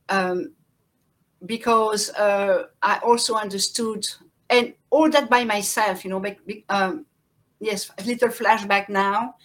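A middle-aged woman with a French accent, a guest telling her life story in a halting way, often restarting and searching for words. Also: medium pitch, a bit bright, poor audio. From born in Central Africa, raised in France. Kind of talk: personal life story in conversation.

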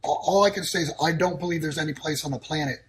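Blunt, higher-pitched man giving warnings. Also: fast talker, fairly bright tone, slight background noise. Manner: blunt, declarative warnings in short sentences. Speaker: guest. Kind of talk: casual talk-show answers. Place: in Texas.

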